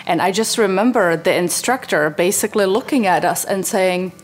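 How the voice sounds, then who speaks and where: A woman's voice: mid-range voice, normal speed, faint music behind. A woman in her forties from the Czech Republic, speaking earnestly and reflectively in an interview from a living room sofa. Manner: earnest and reflective, gesturing as she talks. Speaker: parent interviewee. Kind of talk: interview testimonial.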